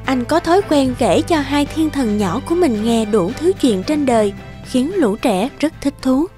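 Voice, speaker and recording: A woman narrates a children's story with gentle expression. Her voice is fairly high, and music plays clearly behind her. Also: animated, moderate pace.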